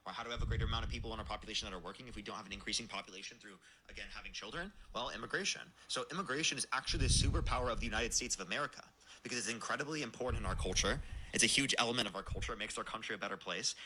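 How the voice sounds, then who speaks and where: A man hosting a livestream debate, explaining his points at length in a rapid, lecturing way. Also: lowish voice, background noise.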